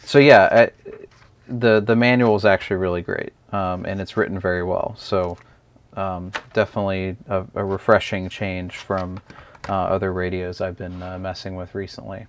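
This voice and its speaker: A man's voice: deep voice, flat delivery, moderate pace, a little hiss or hum. A man giving a casual, unscripted hands-on product review, explaining step by step in a hesitant way.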